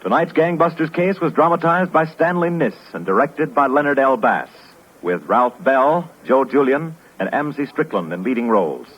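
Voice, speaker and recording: A man's voice: medium pitch, lively intonation, moderate pace. An American man announcing formally and emphatically from a script in the style of 1950s network radio. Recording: muffled vintage radio broadcast recording.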